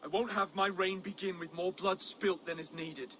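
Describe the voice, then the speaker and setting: A man's voice: sits high, fairly even intonation, moderate pace, noisy background, close to an everyday voice. An actor playing an earnest, merciful young Saxon king in a dramatic video game. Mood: pleading, firm.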